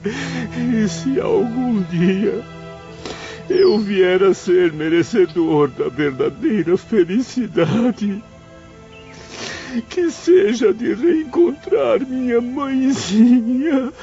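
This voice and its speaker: A man's voice: sits high, animated, drawn-out words, heavily acted. A man playing a grieving spirit, a former professor longing for his mother, speaking in an anguished, pleading way in a dramatized audiobook. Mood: anguished prayer. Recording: dull lo-fi audio with music behind.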